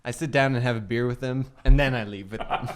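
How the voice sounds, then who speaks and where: A man's voice: medium pitch, steady tone, quick pace, clean tone. A man chatting casually and joking as a player at a tabletop game.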